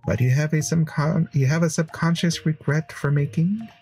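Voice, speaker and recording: A man voicing a wise, calm counselor in a visual novel, speaking in a measured, formal, probing way. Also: a little high, soft background music, voice pushed up, close to an everyday voice.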